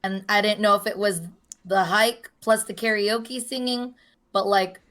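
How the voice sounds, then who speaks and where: Hoarse young woman on a podcast, rambling story, does little voices for people. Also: mid-range voice, steady tone, unhurried, fairly bright tone. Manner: chatty storyteller, acts out quoted voices. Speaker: podcast co-host. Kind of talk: casual chat.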